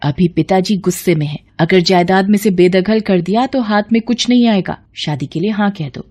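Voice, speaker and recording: A woman's voice: mid-range voice, normal speed, darkish tone, close to an everyday voice. A woman voicing a vain, scheming elder daughter-in-law in a cartoon family melodrama, with a theatrical, wheedling manner. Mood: sly, persuasive.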